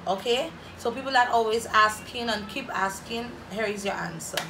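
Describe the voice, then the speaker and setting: A woman's voice: fairly high pitch, normal speed, background noise. A woman in her twenties from Saint Lucia, with a Saint Lucian accent, chatting playfully to camera as she answers viewer questions in a small room. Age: 20s. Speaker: vlogger. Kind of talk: casual Q&A to camera. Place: small room indoors, plain wall. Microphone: camera in front, head-and-shoulders framing.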